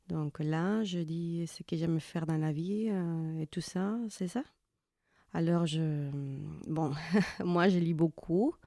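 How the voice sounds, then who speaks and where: A woman's voice: on the low side, mellow, no echo, clean studio sound. An actress playing an earnest, playful bookish mother who introduces herself hesitantly and informally, as if interviewed, in audio fiction. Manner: informal, hesitant, self-conscious.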